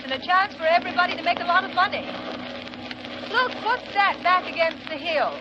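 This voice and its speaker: A high-voiced skeptical companion. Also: female voice, brisk. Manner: light, questioning. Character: loyal, skeptical female companion. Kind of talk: 1930s radio drama acting. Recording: muffled old radio broadcast with hiss.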